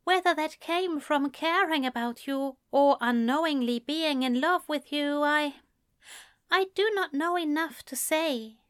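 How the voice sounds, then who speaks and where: A woman voicing a gentle, prim male angel in love, speaking softly and carefully with a plaintive, formal manner. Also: high voice, a little slow, no room sound, clean studio sound, pitched above the performer's own voice. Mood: soft, wistful.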